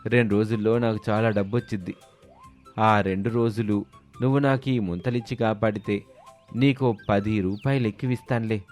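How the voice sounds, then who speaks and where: A man's voice: on the low side, fairly even intonation, normal speed, a little mellow, lightly acted. Man playing a sly, wheedling village drinker, music behind. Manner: wheedling, scheming, reassuring. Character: sly toddy-loving village man, smooth-talking moocher. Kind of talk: animated moral story dialogue.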